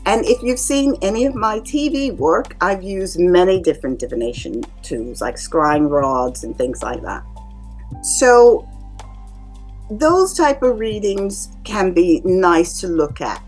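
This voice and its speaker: A woman who works as a psychic reader and medium, explaining in a calm, measured way to her viewers. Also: medium pitch. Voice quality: calming voice. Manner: calm, measured explaining with lively rises and drops. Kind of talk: casual explanatory talk to camera. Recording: faint music behind. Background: faint music.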